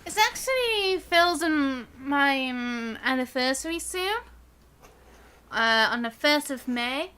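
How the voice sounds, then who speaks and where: A woman's voice: sits high, lively intonation, slow pace, bright, forceful tone. A young British woman chatting casually on a game live stream, girlish and chatty with sing-song emphasis.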